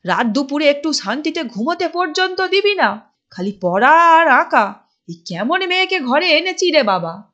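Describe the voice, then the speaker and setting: A woman voicing a poor old village woman in a cartoon, caring but quick to scold, with a plaintive, exclaiming delivery. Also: high-pitched, big pitch swings, sharp tone, full character voice. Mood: annoyed scolding. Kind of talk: Bengali comedy cartoon voice acting. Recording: dull lo-fi cartoon track, faint music.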